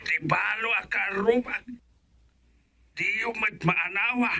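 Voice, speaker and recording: A man's voice: high voice, slow pace, bright, forceful tone, heavily acted. A man with an Ilocano accent playing an agitated neighbour on the phone in a radio comedy drama.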